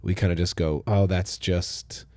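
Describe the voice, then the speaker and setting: Low-voiced pastor hosting a casual chat. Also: male voice, a little slow, no echo. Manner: relaxed, thoughtful, trails off softly at the ends of phrases. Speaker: pastor and podcast host. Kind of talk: casual conversational podcast.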